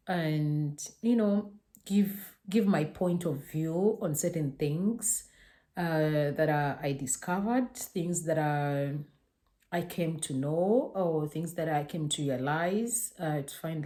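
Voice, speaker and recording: A woman's voice: deep voice, dark tone. A young woman from Uganda talking casually to her camera, hesitant and full of fillers and restarts. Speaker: vlogger.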